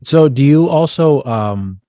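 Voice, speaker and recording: A man's voice: medium pitch, expressive, slow, warm tone, no room sound. A man hosting an interview show, asking long, rambling questions with restarts. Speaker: podcast host.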